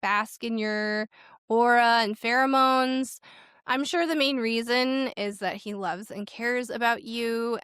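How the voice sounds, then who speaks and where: A chatty, enthusiastic woman hosts a podcast in a casual, easygoing way. Her voice sits a little high, and the sound is dry and close. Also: a bit bright, clear, resonant tone, no room sound. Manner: chatty, enthusiastic, frequent "like" fillers. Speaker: podcast host.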